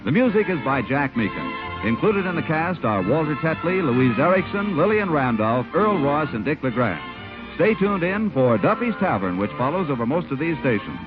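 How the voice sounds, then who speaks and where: A man's voice: on the high side, very animated, moderate pace. A man reading scripted announcements as a 1940s radio announcer, with polished, emphatic salesmanship. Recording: muffled 1940s radio broadcast recording. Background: music.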